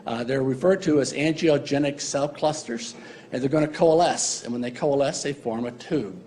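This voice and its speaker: A man's voice: mid-range voice, normal speed, a little mellow. A man giving a university embryology lecture in a casual, joking way, with many fillers. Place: lecture hall.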